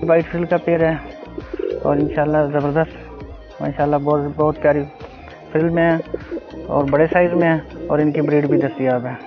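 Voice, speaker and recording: A man's voice: a little high, flat delivery, moderate pace, pauses often. A middle-aged man with a Pakistani accent, a farmer informally showing his stock with repeated stock phrases. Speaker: pigeon farmer. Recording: phone-like thin audio, faint music. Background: faint music, cooing pigeons.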